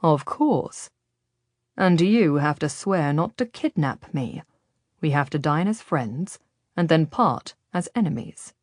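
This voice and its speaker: A woman's voice: sits low, expressive, slow pace, darkish tone, dry recording, clean studio sound, full character voice. A woman as a confident, mocking galley commander. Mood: tempting. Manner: abrupt, teasing, tempting.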